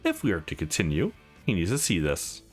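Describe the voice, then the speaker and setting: A man's voice: low-pitched, wildly swooping pitch, fairly quick, husky, soft background music, pitched below the performer's own voice, heavily acted. A man voicing a flirtatious, conflicted married woman in a visual novel, frank, teasing and confessional. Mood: nervous, yearning.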